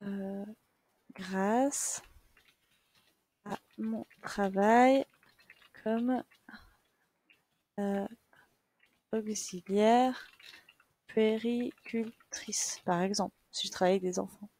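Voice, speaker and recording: A woman's voice: mid-range voice, steady tone, very slow, long pauses, mellow, dry recording. A young woman giving a patient, step-by-step screen tutorial as a teacher, with many fillers. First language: French. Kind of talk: screen-share tutorial lesson.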